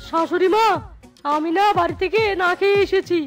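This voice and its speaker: A woman's voice: very high voice, a little fast, soft background music. A woman voicing a sly, hungry younger fox son-in-law in a comic Bengali cartoon, hesitant and complaining. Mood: polite. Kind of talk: Bengali animated comedy story dialogue.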